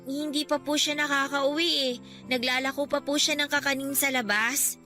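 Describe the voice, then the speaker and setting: A woman's voice: sits high, fairly even intonation, normal speed, pushed and bright. A woman voicing a meek, polite young girl who pleads when bullied in a radio drama. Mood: pleading, hurt. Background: music.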